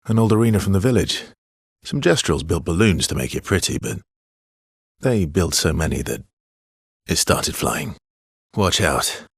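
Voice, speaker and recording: A man playing a weary, guarded video game expeditioner, with a husky, breathy voice and an understated, measured, brooding delivery. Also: sits low, big pitch swings, no echo, clean studio sound, exaggerated voice. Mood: guarded, matter-of-fact. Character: Verso, weary guarded male expeditioner with quiet regret. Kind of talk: video game voice lines, dramatic acting.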